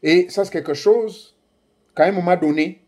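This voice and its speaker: Husky man making an impassioned address. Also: high voice, moderate pace, darkish tone. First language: Ngomienne. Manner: emphatic, declamatory, rising bursts on key words. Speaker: commentator addressing African youth. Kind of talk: impassioned political address to camera.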